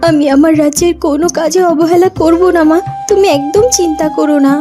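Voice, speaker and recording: A woman's voice: extremely high pitch, fairly even intonation, fairly quick. A woman playing a young princess in a fairytale cartoon, a loving, earnest and emotional daughter. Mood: earnest, devoted. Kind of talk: cartoon voice acting. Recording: music behind the voice.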